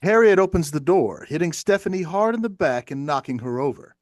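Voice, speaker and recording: A man's voice: a little high, expressive, fairly quick, no echo, studio quality. A man reading stage directions as scripted narration for a play.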